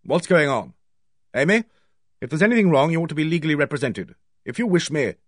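A man voicing a protective, insistent gentleman solicitor in an audiobook. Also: medium pitch, wildly swooping pitch, rapid-fire, husky, dry recording, studio quality. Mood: sharp concern. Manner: sharp, insistent. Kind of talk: audiobook character voice.

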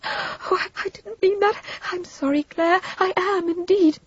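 A woman voicing a shrill, nervous, sneering and craven younger woman in a dramatised storybook reading. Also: expressive, measured pace, slightly rough, full character voice. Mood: agitated, apologetic. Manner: bitter, rattled, sharp short laugh. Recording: roomy, slightly dull audiobook recording.

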